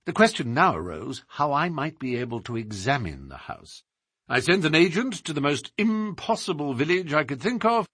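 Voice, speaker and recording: A man voicing a self-assured gentleman detective in an audiobook, explaining in a precise, formal, theatrical way. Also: medium pitch, husky, dry recording, clean studio sound, voice pushed up, full character voice.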